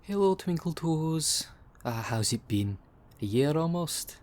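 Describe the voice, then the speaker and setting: A man's voice: a little high, sing-song, a little slow, a little mellow, pitched above the performer's own voice. A man playing a brash, sarcastic dullahan detective in an audio roleplay, with slangy, teasing talk. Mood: mocking.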